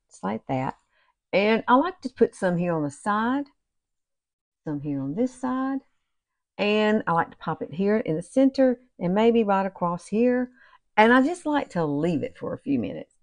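A woman's voice: medium pitch, very animated, unhurried, dry recording. A woman in her fifties with a Southern US accent, chatting casually and folksily as a beauty vlogger, as if to her girlfriends. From southern United States. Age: 50s. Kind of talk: casual chatty makeup tutorial. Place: small room at home, indoors.

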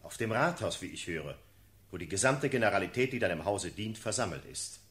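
A formal court attendant in a radio play, low voice, very deferential. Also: male voice, lively intonation, brisk, a bit bright. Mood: dutiful, reserved. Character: deferential attendant reporting to his sovereign. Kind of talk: classical verse radio drama acting.

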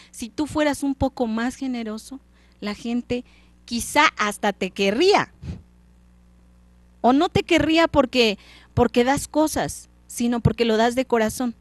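A woman's voice: fairly high pitch, animated, unhurried, no echo. A woman voicing the ghost of a dead business partner, an earnest man who lectures in short, pleading phrases. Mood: pleading, warning.

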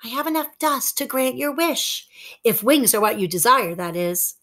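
A tiny, sweet-natured fairy girl, voiced by a woman reading a story, chirping along in a high, bubbly voice. She sounds eager and kind, every phrase lifting brightly. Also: expressive, normal speed. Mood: cheerful.

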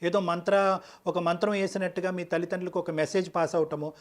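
Fast, high-pitched man giving counsel. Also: little pitch movement. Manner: firm, didactic, mixes English phrases into speech. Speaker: counselor on a relationship advice show. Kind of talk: advice-giving counseling talk.

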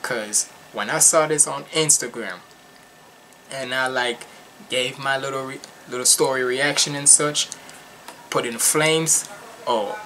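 A young man from Jamaica giving casual, animated and slangy commentary as he reacts to music at home. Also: medium pitch, measured pace, slight background noise. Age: young adult. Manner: animated, slangy, emphatic, repetitive. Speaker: reaction video host. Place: small furnished room at home.

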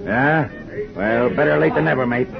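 A gravelly old sea dog. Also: male voice, mid-range voice, moderate pace. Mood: scornful. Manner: growling, blustering, calls everyone mate. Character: gruff old male sea dog running a shipyard. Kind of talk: 1950s radio drama acting. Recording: muffled 1950 radio broadcast with music.

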